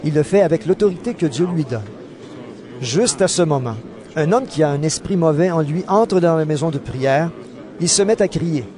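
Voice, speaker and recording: A man's voice: fairly high pitch, expressive, moderate pace, warm tone. A man reading scripted narration for a dramatized audio Bible. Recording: narration over clear background music.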